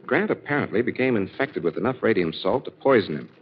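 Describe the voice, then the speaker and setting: An actor playing a confident, authoritative gentleman detective explaining his plans in 1940s radio style. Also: fairly low pitch, animated, fast talker, close to an everyday voice. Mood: matter-of-fact. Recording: dull, noisy old radio recording.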